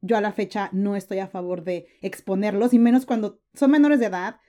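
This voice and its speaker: Mexican-accented woman, 30s, mellow tone, loose chatty guest who restarts her sentences often. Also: medium pitch, steady tone, a little fast, lo-fi sound. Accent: Mexican Spanish. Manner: chatty, frequent fillers and restarts. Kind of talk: casual interview chat.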